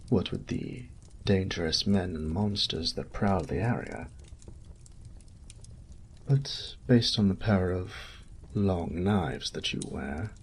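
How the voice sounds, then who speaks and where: Male actor as noble knight captain, fantasy roleplay, low pitch, gravelly edge, polished formal diction, courteous. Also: darkish tone, slight background noise. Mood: grateful, formal. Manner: formal, polished, genteel phrasing. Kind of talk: scripted fantasy audio roleplay.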